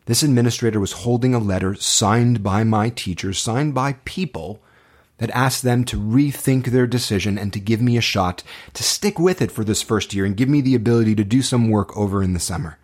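A man's voice: fairly low pitch, fairly quick, darkish tone. A man speaking as an acting teacher, telling personal stories to motivate students in an earnest, impassioned way with dramatic, emphatic phrasing.

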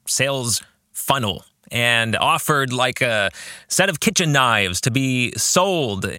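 Podcast host: male, middle-aged, earnest and deliberate, animated intonation, dry close sound. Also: medium pitch, dry recording. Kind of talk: reflective monologue about faith and fiction.

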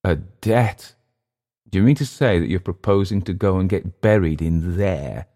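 A low-voiced, world-weary jungle settler, played by a male narrator. He is domineering and mocking, leaning on his words with scornful, teasing weight, as in an audiobook adventure. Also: a little slow, mellow. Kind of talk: audiobook character dialogue.

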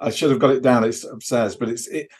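A man's voice: medium pitch, steady tone, fast, darkish tone, husky. A man chatting casually as a podcast co-host, hesitant and rambling as he recounts things, leaning on filler phrases. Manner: hesitant, rambling delivery full of "you know" and "kind of". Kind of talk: casual off-the-record chat, recounting a convention visit.

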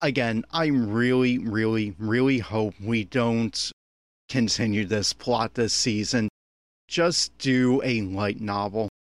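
A man's voice: on the low side, fairly even intonation, takes it slowly, no echo, studio quality. A man giving an unscripted running commentary in a critical, exasperated, opinionated manner. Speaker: commentator on an anime episode.

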